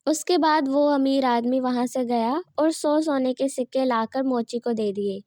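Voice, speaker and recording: A woman's voice: high voice, unhurried, dark tone, dry recording, clean studio sound. A woman reading scripted narration for a children's story.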